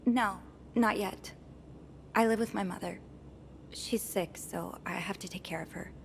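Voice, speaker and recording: Hesitant young maid, mid-pitched. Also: female voice, unhurried, creaky, background noise. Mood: guarded, polite. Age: young adult. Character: polite, hesitant 21-year-old hotel maid, 1950s. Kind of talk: video game cutscene dialogue.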